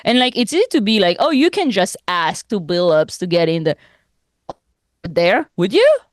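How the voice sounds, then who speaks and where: A young adult woman whose first language is French, co-hosting a podcast in casual chat, animated, laughing often and restarting sentences. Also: medium pitch, moderate pace, dry recording, studio quality. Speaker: podcast co-host.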